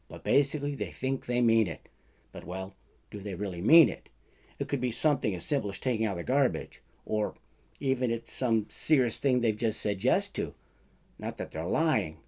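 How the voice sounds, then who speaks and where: A man reading his own short fiction as a first-person narrator, in a conversational, colloquial way with expressive emphasis. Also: mid-range voice, gravelly.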